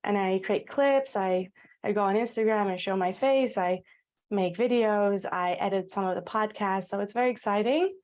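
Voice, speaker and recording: A Dutch-born woman in her thirties with an American-tinged accent, talking about her work as a guest on a podcast in an eager, energetic way. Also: medium pitch, moderate pace. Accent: American-tinged English. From Netherlands. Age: 30s. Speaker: guest, content creator. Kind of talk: podcast interview.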